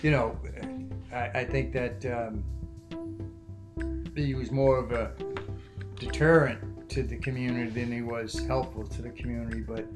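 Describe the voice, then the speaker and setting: A man, reminiscing as an interview guest in halting, reflective phrases. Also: mid-range voice, fairly even intonation. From South Boston, USA. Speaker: interview guest, former union president. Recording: music playing behind the voice.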